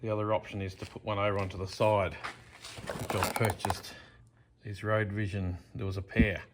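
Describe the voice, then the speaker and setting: A man's voice: lowish voice, level tone, normal speed, heavy background noise. A man presenting a hands-on DIY how-to in a plain, matter-of-fact, step-by-step way.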